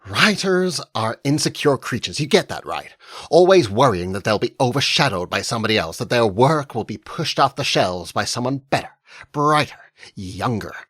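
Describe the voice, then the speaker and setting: A man's voice: mid-range voice, animated, moderate pace, breathy edge, no room sound, studio quality. A male narrator voicing a strident, persuasive older woman literary agent. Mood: patient. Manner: smiling, persuasive, hushed when moved. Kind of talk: audiobook character voice.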